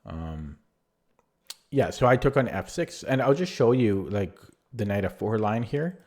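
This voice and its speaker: A man going over one of his own chess games move by move in a relaxed, rambling way, with a voice that is going hoarse. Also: lowish voice, measured pace. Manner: rambling, many fillers and restarts. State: losing his voice. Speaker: chess player reviewing his own game. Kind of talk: casual spoken chess analysis.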